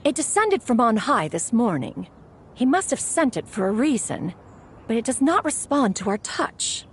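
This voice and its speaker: A woman's voice: fairly high pitch, very animated, normal speed, a little hiss or hum. A woman playing a dignified immortal guardian in a video game, speaking formally and solemnly. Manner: formal, solemn, archaic wording. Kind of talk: video game character acting.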